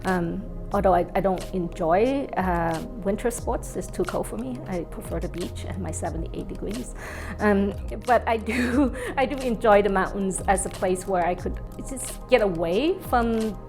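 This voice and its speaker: A woman's voice: mid-range voice, moderate pace, over music. A woman from American Samoa speaking casually in a documentary interview, with many fillers.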